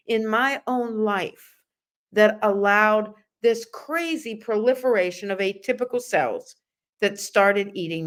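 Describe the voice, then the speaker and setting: A woman's voice: medium pitch, a bit bright. Middle-aged female host, earnest and emphatic, telling personal anecdotes in a conversational interview. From Russia. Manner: earnest, emphatic, addresses listeners directly. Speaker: host of a nursing and wellness talk show.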